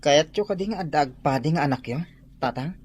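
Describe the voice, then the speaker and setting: Guy voicing a shy earnest young man in a radio play, a bit high for a guy, soft and hesitant. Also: expressive, a little fast, a little hiss or hum, close to an everyday voice. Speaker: son in a radio drama. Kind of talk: radio drama acting.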